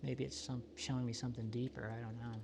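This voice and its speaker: A man playing a solitary, introspective apocalypse survivor in a found-footage monologue, talking in a halting, self-questioning, confessional way. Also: medium pitch, little pitch movement, creaky, noisy background, close to an everyday voice. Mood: weary, reflective. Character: solitary, world-weary, introspective male survivor of a quiet apocalypse.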